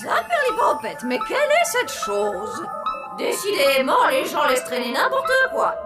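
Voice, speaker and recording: A haughty cartoon woman with a high voice that swings widely. She is quick-tempered and exclamatory, scolding theatrically over prominent music. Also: wildly swooping pitch, heavily acted. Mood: indignant. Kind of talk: animated cartoon dubbing. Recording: loud music behind the voice.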